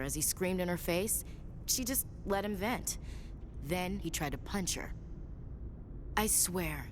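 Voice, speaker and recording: Low-voiced, candid female soldier. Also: slight background noise. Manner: frank, conversational, informal. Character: tough, candid female soldier, protective big sister. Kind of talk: naturalistic video game dialogue acting.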